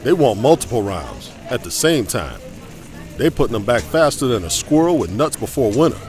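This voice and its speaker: An actor playing a folksy, jovial, loyal speakeasy waiter in a 1920s old-time radio drama. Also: male voice, mid-range voice, very animated, normal speed, darkish tone, faint music behind. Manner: folksy, easygoing.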